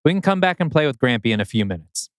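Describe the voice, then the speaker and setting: Man playing a guarded young dad, expressive pitch. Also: fast talker, no echo, clean studio sound, natural voice. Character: guarded, tense stay-at-home father, grown son.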